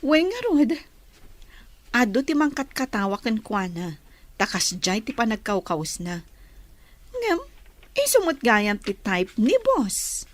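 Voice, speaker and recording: A woman's voice: on the high side, big pitch swings, slow pace, pauses often, no echo. A woman playing a gossipy, teasing office employee in a radio drama, with an Ilocano accent.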